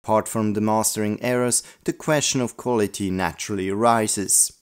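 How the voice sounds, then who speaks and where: Guy with a low voice doing a narrated collector video. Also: fairly even intonation, normal speed, clean tone. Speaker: video host, audio play collector. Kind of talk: scripted retrospective narration.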